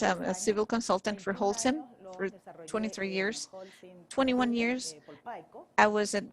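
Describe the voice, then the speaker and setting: Woman, fairly high voice, short halting phrases, interpreting panel talks.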